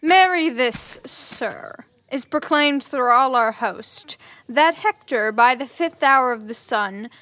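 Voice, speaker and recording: A woman playing a proud, dismissive warrior in a Shakespearean audio drama, haughty and deliberate. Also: sits high, animated, fairly bright tone, no echo, full character voice.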